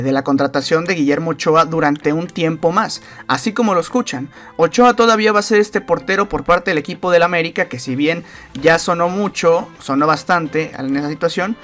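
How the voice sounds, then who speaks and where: A man's voice: on the high side, a bit bright. A man giving casual soccer news commentary as a channel host, rambling with many fillers and repeated phrases. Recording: voice-over with faint background music.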